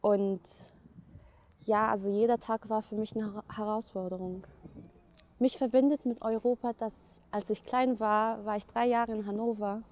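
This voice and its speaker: Young Egyptian woman, mellow voice. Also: mid-range voice, steady tone, moderate pace. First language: Arabic. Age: young adult. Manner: earnest, with frequent fillers. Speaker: student. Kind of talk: interview monologue.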